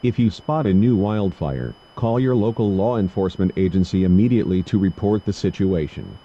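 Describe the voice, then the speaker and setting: A man playing a formal, detached announcer with a husky voice, reading a scripted emergency warning. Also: deep voice, lively intonation, fairly quick, warm tone, full character voice. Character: detached official weather service alert announcer. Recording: dull lo-fi broadcast audio.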